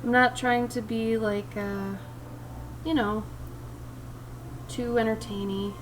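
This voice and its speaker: A young woman with a raspy voice, chatting in a chill, laid-back way with viewers while drawing on a livestream, sniffing now and then. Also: on the high side, slow pace, distant mic. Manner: chill, relaxed, sniffing often. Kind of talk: casual chat with stream viewers while drawing. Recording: steady background noise.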